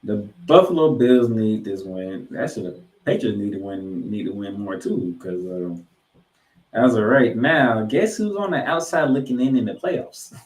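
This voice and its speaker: A man's voice: lowish voice, animated, normal speed. Guy cohosting a sports show, punchy and repeats stuff for effect, echoey sound. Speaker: sports podcast co-host. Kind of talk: sports talk, game preview banter. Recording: roomy, echoing sound.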